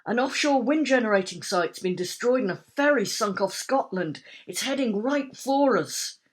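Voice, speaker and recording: A woman's voice: lively intonation, normal speed. A woman voicing an anxious teenage boy who warns his friend about an incoming storm. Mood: urgent. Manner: urgent, raised pitch.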